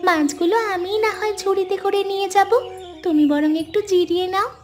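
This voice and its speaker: A woman's voice: extremely high pitch, fairly quick. A woman voicing an innocent, devoted poor little village girl in a melodramatic cartoon, with a childlike, earnest, pleading way of talking. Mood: caring, hopeful. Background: loud music.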